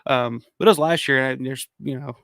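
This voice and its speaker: Hoarse, gravelly man rambling on a livestream. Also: medium pitch, normal speed, a bit bright, dry recording, studio quality. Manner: rambling, profane, frequent restarts; reads out viewer comments. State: hoarse from a cold. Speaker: livestream host. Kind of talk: casual livestream chat.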